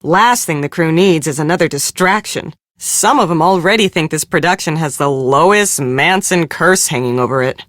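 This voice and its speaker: An actress playing a harried, blunt film producer in a mystery game, brusque and sardonic. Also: female voice, deep voice, moderate pace, few pauses, fairly bright tone, dry recording. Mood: irritated, weary. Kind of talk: scripted game dialogue.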